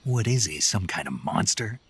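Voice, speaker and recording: An actor playing a gruff, skeptical, confident soldier in a video game, terse and gravelly. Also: male voice, fairly low pitch, very animated, normal speed, fairly bright tone, heavily acted. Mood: dry confidence. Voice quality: very gravelly, husky. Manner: terse, dry, questioning.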